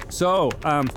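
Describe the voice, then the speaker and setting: Slow-talking, condescending corporate liaison. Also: male voice, fairly high pitch, background noise. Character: smooth-mannered, falsely friendly male corporate liaison. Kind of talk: science fiction radio play acting.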